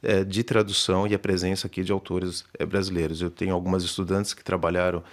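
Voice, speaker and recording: A literature professor answering radio interview questions in a measured way, with many hesitations and restarts. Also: male voice, low voice, little pitch movement. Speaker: literature professor and festival organizer.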